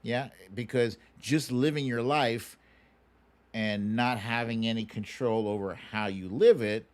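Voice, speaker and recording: A middle-aged American man hosting a livestream, chatting casually and answering viewer questions in an unhurried, musing way with pauses. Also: medium pitch. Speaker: livestream show host.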